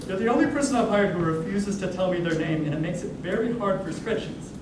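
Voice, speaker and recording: A man's voice: high voice, quick pace, lightly acted. A young man playing an exasperated, incompetent mall manager in a staged reading. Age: young adult. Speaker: actor in a musical reading. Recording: echoey, distant, noisy room.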